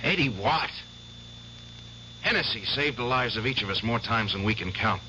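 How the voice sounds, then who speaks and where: An actor playing a bitter, obsessed and worn-out war veteran in a radio drama, speaking in an intense, halting way. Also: male voice, mid-range voice, lively intonation, pushed and bright. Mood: bitter, demanding. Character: bitter, obsessed, exhausted war veteran piano player seeking justice. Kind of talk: 1970s radio drama acting. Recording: dull old radio recording, faint hum.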